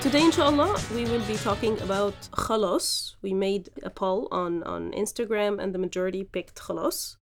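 A woman hosting a podcast, teaching phrases slowly and explaining each example in turn. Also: mid-range voice, faint music behind. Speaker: podcast host and Arabic teacher. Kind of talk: language lesson podcast.

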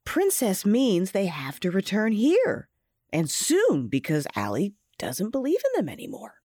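Husky, wildly expressive imaginary creature. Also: female voice, medium pitch, a little slow, dry recording, studio quality. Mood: earnest, explaining. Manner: patient, explanatory, theatrical. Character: gentle, wise, folksy imaginary friend, guardian of others. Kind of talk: audio fairy-tale drama acting.

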